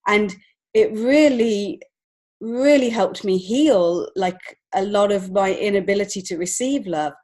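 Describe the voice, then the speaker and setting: A woman's voice: medium pitch, a little slow, a little mellow. A woman with an English accent talking reflectively and earnestly as a guest in a conversational interview. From England. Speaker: podcast guest, children's author and illustrator.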